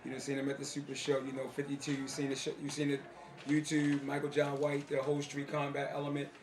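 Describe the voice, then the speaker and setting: A man's voice: medium pitch, completely flat delivery, fast. An American man doing a casual street-style interview, talking in an informal, slangy way. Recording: roomy, noisy on-location sound.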